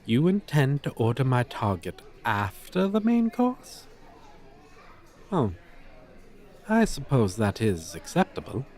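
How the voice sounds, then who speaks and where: A man's voice: mid-range voice, huge pitch swings, slow pace, pauses often, a little mellow. A man playing a haughty, formal dragon teacher in an audio roleplay, with pompous, wordy, old-fashioned phrasing. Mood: flustered, formal. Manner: pompous, verbose, archaic formal diction. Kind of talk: ASMR roleplay, character acting.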